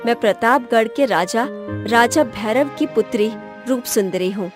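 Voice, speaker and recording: Female actor as young princess, high voice, wistful, headstrong, cartoon story, music behind. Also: measured pace, lightly acted. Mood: solemn. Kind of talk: Hindi cartoon story voice acting.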